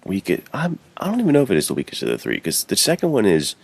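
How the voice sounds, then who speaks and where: A man's voice: lowish voice, wildly swooping pitch, fast, husky. A man co-hosting a podcast, chatting casually and musing through his opinions. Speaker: podcast co-host. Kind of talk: casual chat.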